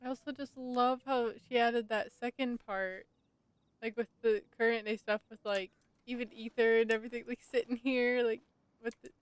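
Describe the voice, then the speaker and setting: A woman's voice: on the high side, steady tone, moderate pace, clear, resonant tone, no room sound. A woman chatting casually and enthusiastically as she streams a game. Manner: gushing, enthusiastic. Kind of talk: casual livestream gaming chat. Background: game music.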